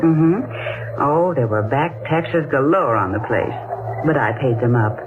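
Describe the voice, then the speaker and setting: A woman's voice: extremely low pitch, animated, takes it slowly, noisy background, heavily acted. An American woman playing a hospitable, uneasy hostess in 1940s radio drama style. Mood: gracious. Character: hospitable, uneasy woman hosting New Year's Eve. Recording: muffled 1940s radio recording, music behind.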